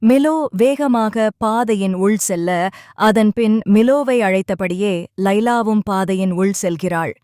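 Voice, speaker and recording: A woman narrating a children's cartoon story in a calm, steady storytelling manner. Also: mid-range voice, takes it slowly, dry recording, studio quality.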